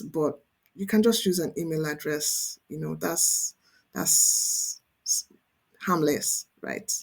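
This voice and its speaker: A woman's voice: on the low side, darkish tone. Emphatic woman coach teaching online. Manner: emphatic, often checks in with "right?" and "okay?". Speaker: career coach presenting an online course. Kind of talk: instructional screen-share tutorial, conversational.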